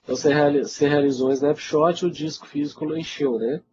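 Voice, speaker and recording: A man's voice: on the high side, fairly even intonation, quick pace. Congested Brazilian man, noisy lo-fi webinar. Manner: informal, conversational, frequently checks in with listeners. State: congested with a cold. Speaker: IT instructor answering webinar questions. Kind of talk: casual live webinar Q&A. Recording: dull lo-fi webinar audio, loud noise.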